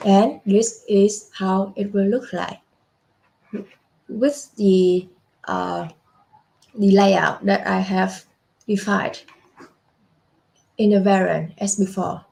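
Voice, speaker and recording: Woman, young adult, software demo presenter, creaky voice, slow pace, filler-heavy. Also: mid-range voice, fairly even intonation, low-quality recording. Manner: unhurried, frequent "uh" fillers and self-corrections. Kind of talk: screen-share software demo walkthrough.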